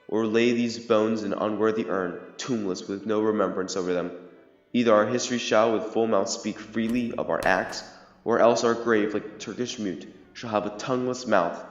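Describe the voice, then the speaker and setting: A man's voice: fairly low pitch, lightly acted. Young man as proud English king, formal declamatory Shakespearean verse, muffled sound, music underneath. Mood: resolved. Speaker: amateur student actor. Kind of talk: Shakespearean verse audio drama. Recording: muffled, echoey hall reverb under music.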